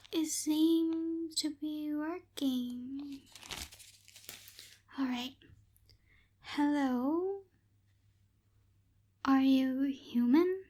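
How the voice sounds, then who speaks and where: A woman playing a proud, bossy but helpful young night witch in an ASMR roleplay, speaking hesitantly with soft asides, close to the microphone. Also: high voice. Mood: testing, curious. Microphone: close binaural microphone.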